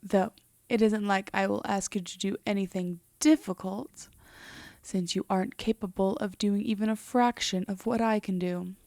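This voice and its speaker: A woman playing a composed, teasing, possessive female demon in a roleplay audio drama, speaking in a measured, softly haughty way that slips into whispers. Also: mid-range voice, steady tone, vocal fry, dry recording, clean studio sound, lightly acted. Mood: possessive, commanding. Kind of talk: roleplay audio drama, intimate slow delivery.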